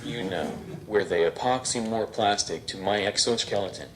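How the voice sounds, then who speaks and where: A man voicing a deadpan male robot stand-up comedian with a flat, machine-like delivery. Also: on the low side, moderate pace, crowd noise, natural voice.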